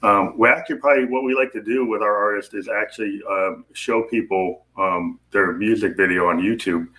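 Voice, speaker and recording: Bright-voiced, low-pitched American man hosting. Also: fairly even intonation, a little fast, rough recording. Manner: loose, rambling explanations with frequent self-corrections. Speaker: podcast host. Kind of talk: casual live interview. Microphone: video podcast mic.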